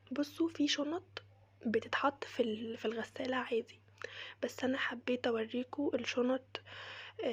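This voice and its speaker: Breathy whispering Egyptian woman with a cold. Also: a little high. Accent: Egyptian Arabic. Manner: soft, mostly whispered, chatty asides. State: has a cold, voice changed. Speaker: crochet maker demonstrating cleaning. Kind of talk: casual how-to tutorial.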